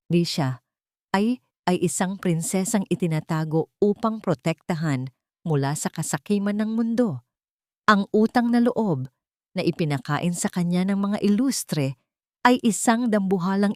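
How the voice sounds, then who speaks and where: A woman narrating a scripted love story in a dramatic, measured and solemn storytelling style. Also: on the low side, darkish tone, dry recording, studio quality.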